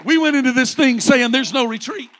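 High-voiced man preaching fervently. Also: brisk, fairly bright tone, a faint crowd. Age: 40s. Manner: fervent preaching with rising repeated refrains. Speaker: pastor. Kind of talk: sermon.

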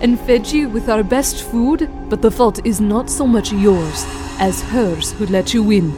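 Commanding lady played by a woman, wrathful, archaic audio drama speech, medium pitch, music behind. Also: a little slow, close to an everyday voice. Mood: stern, scolding. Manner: imperious, formal archaic speech. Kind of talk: audio drama acting of an old tale.

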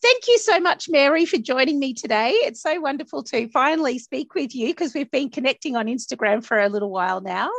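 A woman's voice: sits high, expressive, brisk, vocal fry, hardly any pauses. Upbeat Australian woman podcast host. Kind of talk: podcast interview.

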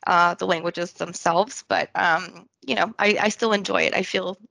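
A woman's voice: fairly low pitch, steady tone, moderate pace, vocal fry, sharp tone, no room sound. A woman in her thirties, born in Scotland and raised in France, talking casually as a podcast guest, with many ums and restarts. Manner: chatty, many ums and restarts, laughs easily. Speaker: podcast guest, quality and compliance manager. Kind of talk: casual interview talk.

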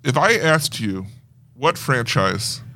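Guy cohosting a movie podcast, really lively up and down pitch, casual and playful. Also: slow. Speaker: podcast co-host. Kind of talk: casual chat about movie news.

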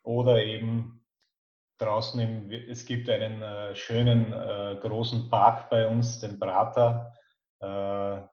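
A man's voice: lowish voice, little pitch movement, slow pace, darkish tone. A man in his fifties with an Austrian accent, talking casually and thoughtfully as an interview guest. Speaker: podcast guest. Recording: dull, echoey remote-call sound.

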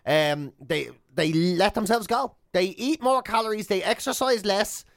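A man's voice: high voice, unhurried, vocal fry, dry recording, clean studio sound. A man with an Irish accent hosting radio in casual comic banter, jokey and emphatic, repeating himself for effect. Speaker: radio show host.

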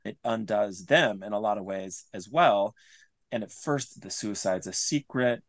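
Earnest man, lower-pitched, in dry close sound. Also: unhurried, no room sound. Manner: earnest, explanatory, often restating phrases. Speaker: interview guest, audio drama creator. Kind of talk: conversational interview answers.

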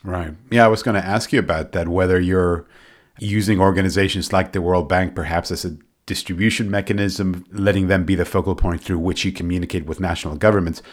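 A man asking questions as an interviewer in a conversational way. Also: deep voice.

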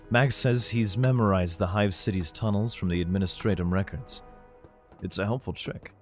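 Man playing a low-voiced, weary father narrating. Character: weary, protective father fleeing war, narrating. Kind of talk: audio drama first-person narration and dialogue.

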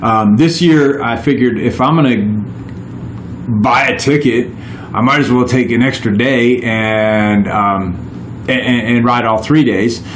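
A man's voice: medium pitch, slow, roomy sound, slight background noise. A man in his forties, a guest on a podcast, telling his stories in a casual, rambling way with many restarts. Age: 40s. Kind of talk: casual chat.

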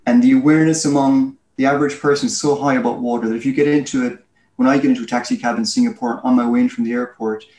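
Irish male interview guest, articulate explainer, mid-pitched, echoey room. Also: darkish tone. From Ireland. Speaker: guest, head of a research firm. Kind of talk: conversational interview answers. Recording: roomy, echoey sound.

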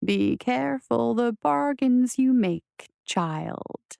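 A woman voicing a seductive, soothing demon in an audiobook, with a hushed purr and a coaxing, menacingly gentle manner. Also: on the high side, expressive, takes it slowly, no echo, full character voice. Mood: sly, ominous. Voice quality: breathy, hushed, humming purr. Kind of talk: audiobook character voice.

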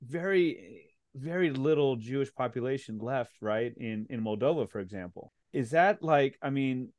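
A man's voice: medium pitch, lively intonation, moderate pace, darkish tone, dry recording. Guy hosting a podcast, lots of um and you know, plain mid voice, dry sound. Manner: rambling, searching questions with many fillers. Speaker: podcast host. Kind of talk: casual interview conversation.

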